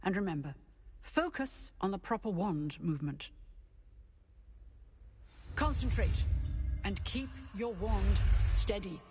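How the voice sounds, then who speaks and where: An actress playing an exacting magic teacher in a video game, giving instruction with dry wit. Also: female voice, mid-range voice, animated, slow, long pauses. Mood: instructive, wry. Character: exacting, dryly witty female magic teacher. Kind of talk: video game character acting. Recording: noisy game ambience behind.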